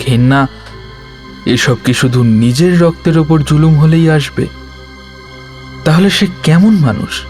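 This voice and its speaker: Male horror story narrator over soft music. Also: mid-range voice. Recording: music bed under the voice.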